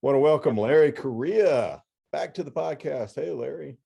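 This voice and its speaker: A man's voice: fairly high pitch, moderate pace, gravelly, loud music behind the voice. A man hosting a podcast, reading scripted announcements with casual, tongue-in-cheek asides. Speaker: podcast host and book editor.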